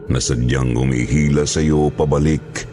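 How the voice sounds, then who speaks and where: A man's voice: very deep voice, fairly even intonation, measured pace, mellow, faint music behind. A man hosting and narrating horror stories in a solemn, theatrical scripted style. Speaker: horror story narrator and host.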